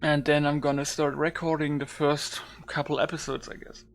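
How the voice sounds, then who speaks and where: A man's voice: fairly high pitch, little pitch movement, normal speed. A man doing loose, informal game commentary as a YouTuber, thinking aloud without a script. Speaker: gaming YouTuber showing a modded game. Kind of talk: casual unscripted game commentary.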